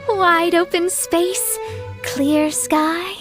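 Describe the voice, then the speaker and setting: A woman's voice: very high-pitched, steady tone, very slow, heavily acted. A woman playing a proud, self-assured young astrologer mage in a video game, explaining things in a self-important, matter-of-fact way. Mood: confident, explanatory. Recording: music behind the voice.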